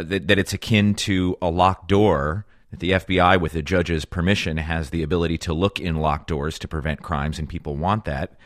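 Casting: man, broadcast interviewer, low-pitched voice, close dry recording. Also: no room sound.

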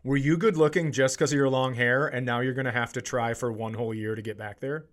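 A man's voice: mid-range voice, steady tone, quick pace. A man in his forties co-hosting a comedy podcast, telling stories casually in a teasing, self-deprecating, sweary way. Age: 40s. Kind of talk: casual comedic banter and storytelling.

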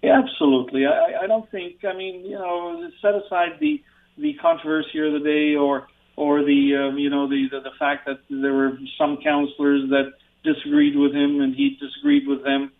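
A man on a tinny phone line with a gravelly, fairly high voice. He speaks earnestly and reflectively, as a radio caller. The line is thin and narrow. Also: lively intonation, moderate pace. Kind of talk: phone-in tribute.

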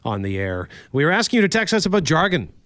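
A man's voice: a little high, sing-song, quick pace, a bit bright, no echo. A man co-hosting a morning radio show, chatting casually and reading out the phone number and prizes from his studio mic. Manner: conversational, reads phone numbers and promos. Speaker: radio co-host. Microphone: studio mic, separate room.

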